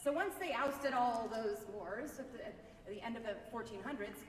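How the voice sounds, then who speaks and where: A woman harpist giving a chatty, explanatory spoken introduction at a live recital, with a loud voice and "okay?" asides. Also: a little high, normal speed, a little hiss or hum. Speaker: concert harpist. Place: large reverberant shrine hall, indoors. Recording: echoey hall, voice from far off.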